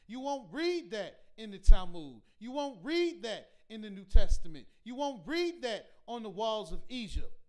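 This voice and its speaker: A man teaching a scripture class in a preaching style, reading verses slowly and pressing his points with repeated rhetorical questions. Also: extremely high pitch. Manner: emphatic, repetitive rhetorical questions; slow deliberate scripture reading.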